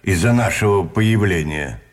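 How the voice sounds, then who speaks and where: Courteous colonel, lively intonation. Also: male voice, deep voice, unhurried. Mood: polite, organizing. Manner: polite, formal, takes charge. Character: courteous, orderly colonel among war prisoners. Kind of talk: Russian radio drama acting.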